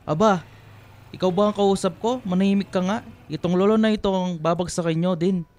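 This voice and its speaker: Man acting a high-voiced lecherous old Filipino tricycle driver. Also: a little fast, darkish tone, lightly acted. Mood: angry, insulting. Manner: cocky, pushy flirting that turns nasty. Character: lecherous, boastful old tricycle driver, insulting when spurned. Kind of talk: Filipino radio drama acting.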